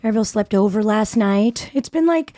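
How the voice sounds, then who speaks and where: A woman's voice: medium pitch, lively intonation, a little fast, warm tone, no room sound, clean studio sound. A woman in her forties hosting a podcast, chatting casually in a scratchy voice left by a cold, rambling with many fillers and restarts. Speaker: podcast host. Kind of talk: casual chat.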